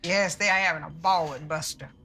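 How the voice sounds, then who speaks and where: A woman's voice: sits low, fairly quick, pushed and bright. A woman playing a kindly, chatty older churchgoing lady in an amateur film. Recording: film clip with music underneath.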